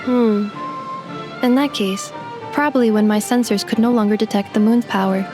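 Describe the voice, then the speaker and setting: A woman's voice: medium pitch, normal speed, lightly acted. A woman voicing a polite young female robot who speaks in precise, formal, computer-like phrases. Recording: game audio with music behind.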